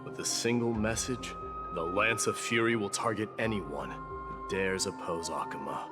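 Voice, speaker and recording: A man playing a proud, stoic warrior prince in a fantasy game, speaking gravely and formally. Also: fairly low pitch, moderate pace, lightly acted. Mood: grave, commanding. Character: proud, stoic warrior prince, demigod, resigned to his fate. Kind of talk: scripted fantasy game voice acting. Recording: music behind the voice.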